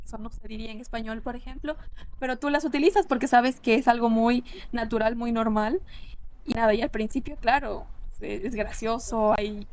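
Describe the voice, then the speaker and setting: A woman's voice: a little high, rough recording. A woman in her twenties from Ecuador, a linguist being interviewed, speaking earnestly and reflectively with frequent restarts. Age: 20s. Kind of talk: casual interview conversation.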